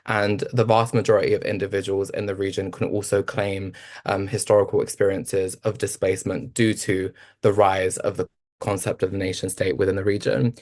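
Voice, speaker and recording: A man's voice: deep voice, little pitch movement, fairly quick, a little mellow. A man speaking on a panel, talking through history with many fillers and restarts. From Albanian family from Kosovo. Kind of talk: panel discussion talk.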